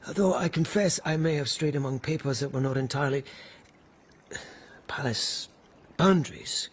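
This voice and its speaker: A man's voice: mid-range voice, moderate pace, lightly acted. Earnest, stubborn palace policeman played by an actor. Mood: respectful, resolute. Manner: earnest, formal, carefully deferential. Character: dogged, intense palace policeman, courteous but stubborn. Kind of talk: radio drama acting.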